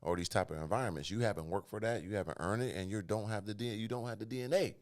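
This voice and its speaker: Slangy, animated male podcast host. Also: lowish voice, fast talker. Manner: animated, slangy, jumps into playful put-on voices. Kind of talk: casual interview chat.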